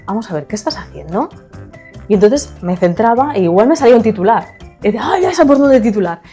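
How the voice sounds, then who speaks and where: A woman writer from Spain with a Spanish accent, answering interview questions in a soft-spoken, chatty way and laughing easily. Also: mid-range voice. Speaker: writer, podcast guest.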